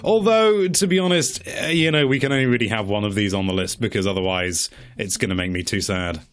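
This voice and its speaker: A man's voice: lowish voice, brisk, fairly bright tone. A man presenting a countdown-style video in a chatty, casual way, with wry, tongue-in-cheek commentary.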